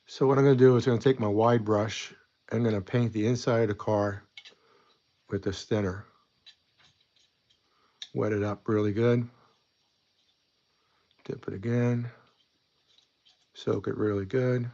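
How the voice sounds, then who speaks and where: A man's voice: fairly low pitch, fairly even intonation, measured pace, long pauses, warm tone, dull audio. A man hosting a casual hobby weathering tutorial at his desk, chatty and rambling, a bit stuffy from a coming cold. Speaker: model weathering host.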